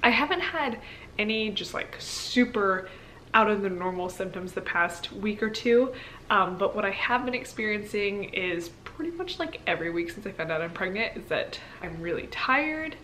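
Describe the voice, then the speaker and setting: Young female vlogger, average pitch, candid and conversational, loose rambling delivery, slight background noise. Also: expressive, moderate pace, fairly bright tone, poor audio. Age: young adult. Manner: informal, rambling, candid, frequent "like". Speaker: pregnancy vlogger. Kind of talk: casual chat to viewers.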